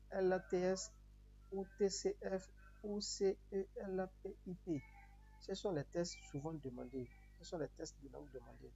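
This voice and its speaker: A man with a Beninese accent and Yoruba as his first language presents a tutorial one step at a time. His voice is slightly high and soft-edged in tone, with a dark, relaxed colour. He repeats his instructions in simple patterns, over a faint hum in the recording. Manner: repetitive, instructional phrasing. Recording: muffled audio with noticeable background hum.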